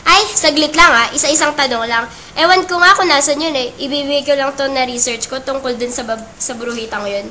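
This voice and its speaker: A woman playing a lovestruck, chatty sixteen-year-old schoolgirl with a Filipino accent in an amateur radio drama, using expressive teenage slang. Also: sits high, fairly quick, slight background noise. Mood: irritated.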